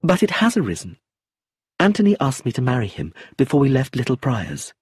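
A man's voice: medium pitch, wildly swooping pitch, fairly quick, a little mellow, breathy edge, no echo, clean studio sound, voice pushed up, heavily acted. A man voicing a young woman, grieving but blunt and determined, who speaks tersely and firmly. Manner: terse, firm, a little raised.

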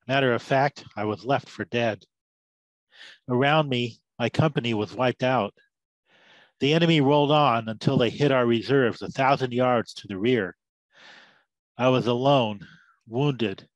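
A man's voice: medium pitch, unhurried, long pauses, dry recording, natural voice, poor audio. A man performs an embittered old-soldier storyteller in a radio drama, well-oiled and loquacious. He delivers war memories like scripture, with a biblical roll to his phrases, in a dry, close sound. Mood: grave, sorely afflicted. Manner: loquacious, sermon-like oratory with biblical cadence. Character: embittered drunken war veteran Big Mike, preaching battlefield tales. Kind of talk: dramatised story, theatrical monologue.

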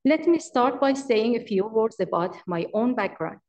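A woman in her forties with an Iranian accent, reading a prepared presentation at a podium in a halting way as a business owner. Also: fairly high pitch, lively intonation, a little mellow, lo-fi sound. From Iran. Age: 40s. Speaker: daycare owner and manager presenting. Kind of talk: prepared presentation at public meeting. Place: large council chamber. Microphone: podium microphone.

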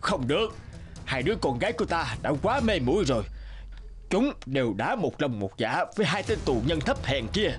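An actor playing a cruel, domineering old king in an animated fairy tale, speaking harshly and imperiously. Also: on the high side, normal speed, soft background music. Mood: stern anger.

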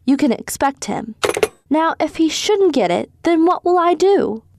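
A woman playing an excitable, imperious society hostess and mother in a radio drama, exclaiming dramatically. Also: high voice, unhurried, background noise. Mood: flustered.

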